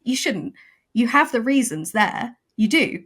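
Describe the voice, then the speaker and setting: A woman's voice: on the high side, measured pace, breathy edge. Emphatic woman writer on a podcast. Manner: emphatic, animated, repeats for emphasis. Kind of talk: casual podcast chat.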